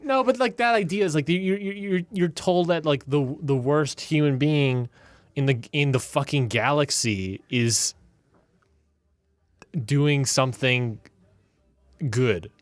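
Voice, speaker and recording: A man's voice: on the high side, measured pace, dry recording. A man chatting casually as a player in a tabletop game, rambling and repeating words as he works out his thoughts. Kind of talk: casual chat about his character.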